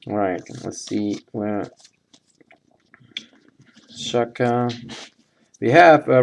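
A man speaks slowly in an ordinary mid-range voice as he hosts a live card break. His commentary is casual and halting, with matter-of-fact phrases that tend to trail off. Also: mid-range voice, creaky, a little mellow, a little hiss or hum. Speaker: host of sports card box breaks. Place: indoors at a tabletop.

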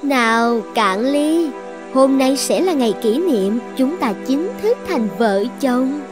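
Woman doing a bossy lovesick cartoon sorceress, highish voice, sweet talk with menace, bit of music behind. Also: animated, slow pace. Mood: commanding. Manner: commanding, coaxing, menacing. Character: possessive, lovesick, threatening sorceress dragon princess. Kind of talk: dubbed cartoon fairy-tale acting.

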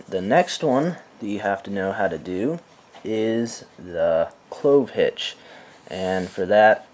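A man with a deep, low voice gives a relaxed, step-by-step knot-tying lesson. He talks at an unhurried pace, with soft fillers here and there. He is recorded indoors by a camera mic above his hands. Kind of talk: step-by-step demonstration. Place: indoors, filming hands over a carpeted floor.

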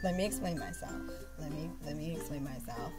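A woman's voice: deep voice, brisk, over loud music. A young woman in her twenties, congested from a cold, rambling casually with many fillers as she talks to her webcam at home. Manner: rambling, lots of fillers and restarts. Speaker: beauty vlogger. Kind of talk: casual haul vlog to camera. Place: bedroom at home, indoors. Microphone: webcam at arm's length.

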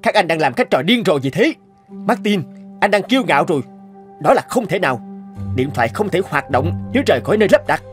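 A man's voice: high-pitched, sing-song, fast. A man playing an arrogant rival boss in a cartoon, mocking and condescending. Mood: scornful. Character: scornful, arrogant male rival research boss. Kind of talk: Vietnamese cartoon dub acting. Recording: music under the dialogue.

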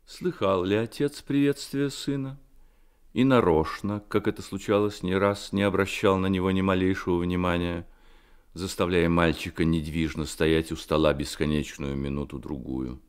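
A man narrating a literary Soviet radio drama in a measured, expressive storytelling manner. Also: sits low, clear, resonant tone.

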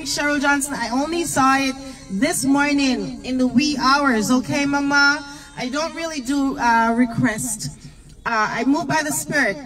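A woman in her thirties, a gospel singer, chatting live with viewers from a parked car into a handheld mic, lively and greeting people by name. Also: sits high, distant mic, poor audio. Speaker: gospel singer hosting a morning livestream. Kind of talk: casual live chat between songs. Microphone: handheld microphone.

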